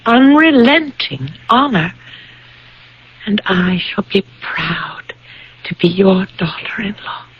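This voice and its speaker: An actress playing a gentle, insistent young bride-to-be in a vintage radio drama, with deliberate, pleading delivery. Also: female voice, medium pitch, big pitch swings, background noise. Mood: reassuring. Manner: slow, deliberate, pleading, repeats phrases. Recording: dull vintage radio broadcast recording.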